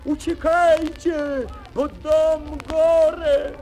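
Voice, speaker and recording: A man voicing an excitable, hot-tempered little boy in a children's storybook record. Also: very high-pitched, drawn-out words, a little hiss or hum, voice pushed up, exaggerated voice, low-quality recording. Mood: alarmed. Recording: vinyl record with music.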